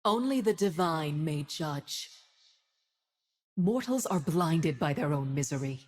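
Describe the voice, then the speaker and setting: A woman's voice: sits low, animated, slow pace, a little mellow, full character voice. A woman voicing a stern, righteous divine winged judge for a fantasy video game, speaking in solemn, grand declarations with a subtle ethereal effect.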